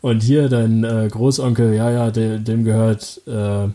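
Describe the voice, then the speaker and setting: A young man from Germany answering interview questions casually, halting often with fillers, restarts and quick laughs. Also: fairly low pitch, flat delivery, mellow.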